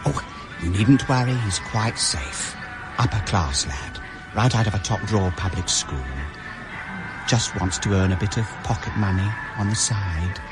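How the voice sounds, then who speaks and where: An actor playing a discreet, insinuating go-between in a bar, confidential and coaxing, in a radio drama. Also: male voice, fairly low pitch, measured pace, natural voice. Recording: loud music behind the voice.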